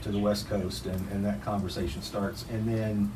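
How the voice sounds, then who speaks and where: A man's voice: on the low side, little pitch movement, moderate pace. A man hosting an interview on a porch, asking questions in a rambling, friendly way with many fillers. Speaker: interviewer, channel host. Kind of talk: casual interview. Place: outdoor porch. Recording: distant mic, birds and outdoor noise.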